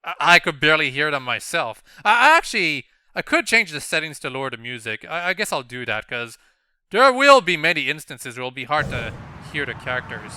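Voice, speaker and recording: A man's voice: fairly high pitch, sing-song, brisk, pushed and bright, clean tone, no echo. A young man giving casual video game playthrough commentary in a hesitant, rambling way, often correcting himself.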